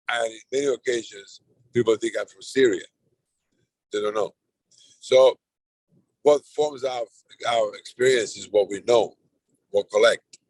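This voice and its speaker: A man's voice: medium pitch, a little mellow, no room sound, rough recording. A middle-aged man with a Serbian accent, chairing an online roundtable in a loose, rambling way with frequent restarts. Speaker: conference chair. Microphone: video call.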